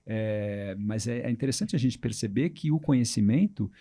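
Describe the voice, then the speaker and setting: A man's voice: on the low side, moderate pace, mellow. A man with a Brazilian Portuguese accent, a teacher explaining his views thoughtfully in a podcast conversation, often checking in with tag questions.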